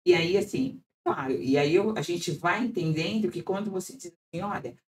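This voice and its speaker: A woman's voice: fairly low pitch, animated, moderate pace, darkish tone. A woman podcast host with a Brazilian Portuguese accent, reflecting aloud and often seeking agreement with tag questions. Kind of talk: podcast interview.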